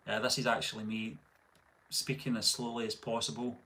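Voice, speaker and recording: A middle-aged man with a Scottish accent, hosting a casual live stream and reading out viewer comments in a relaxed, rambling way full of fillers and restarts. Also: medium pitch, a little fast. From Scotland. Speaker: channel host.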